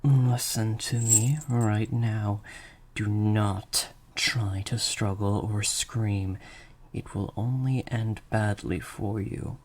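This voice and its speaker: A man playing a sadistic vampire master in an improvised audio roleplay, menacing and teasing, in breathy half-whispers to a captive listener. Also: lowish voice, steady tone, slow. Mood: stern, threatening.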